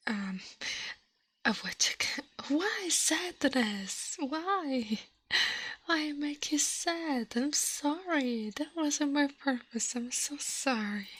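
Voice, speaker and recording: A woman whispering as an ASMR livestream host, in a breathy murmur with playful alien roleplay and repeated syllables. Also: high voice, lively intonation, slow, fairly bright tone, dry recording.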